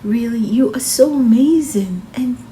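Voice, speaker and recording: A woman reading a picture book aloud for children in an expressive, encouraging way, speaking directly to her young listeners. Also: a little high, a little slow, slight background noise. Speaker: children's read-aloud channel host.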